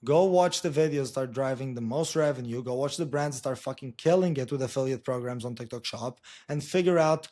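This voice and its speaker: A man from his language talks through an informal explainer. He is blunt and emphatic, swears freely and keeps checking that the listener follows. His pitch sits in the middle range for a man. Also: brisk, few pauses, a little mellow. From Portugal. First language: Portuguese. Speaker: marketing agency co-founder presenting.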